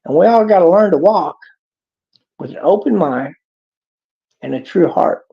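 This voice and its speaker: A man's voice: high voice, animated, unhurried, gravelly. A man with a Texas accent giving a rambling, preachy monologue in a folksy drawl. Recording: dull lo-fi clip.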